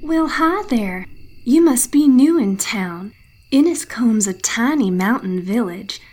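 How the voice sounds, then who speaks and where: A woman playing a folksy village local in an audio drama, welcoming newcomers and warning them in a conspiratorial, hushed manner. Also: fairly high pitch, animated, takes it slowly. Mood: folksy welcome. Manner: folksy, conspiratorial, dropping into hushed near-whisper. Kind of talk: in-character fiction podcast storytelling.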